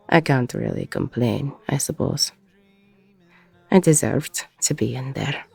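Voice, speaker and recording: A woman playing a weary, regretful ex-convict bar owner in an intimate audio roleplay, with a husky, gravelly voice and a reflective delivery full of pauses. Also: rumbling low, darkish tone, dry recording, exaggerated voice. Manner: slow, reflective, pausing mid-sentence.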